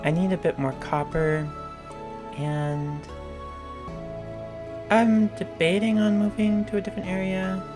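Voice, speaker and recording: A hoarse man giving casual, hesitant commentary over a video game, thinking aloud with frequent pauses and fillers. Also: high voice, steady tone. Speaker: gameplay video creator. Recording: clear game music under the voice. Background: game music and rain sounds.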